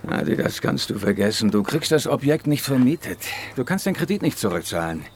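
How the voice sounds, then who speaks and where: A man's voice: medium pitch, big pitch swings, brisk, a little hiss or hum, lightly acted. A man playing a hard, dismissive businessman in a German radio crime drama, blunt and cold. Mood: cold, scornful.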